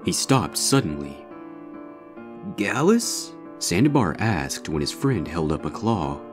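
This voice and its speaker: A man's voice: extremely low pitch, big pitch swings, moderate pace, music in the background. A man reading a scripted horror story as its narrator.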